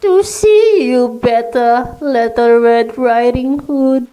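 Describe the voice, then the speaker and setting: A woman's voice: fairly high pitch, slow, dark tone. A woman acting a wolf that pretends to be sweet and harmless in a children's radio drama. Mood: falsely tender. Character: wolf posing sweetly as others to trick prey.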